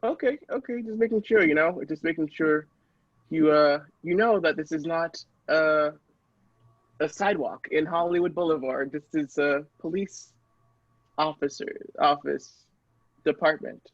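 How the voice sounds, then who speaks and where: A man's voice: a little high, unhurried, no echo, muffled recording. A man playing a polite, flustered policeman in improvised comedy, hesitant, apologetic and dry. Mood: politely exasperated. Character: polite, flustered policeman fielding odd requests.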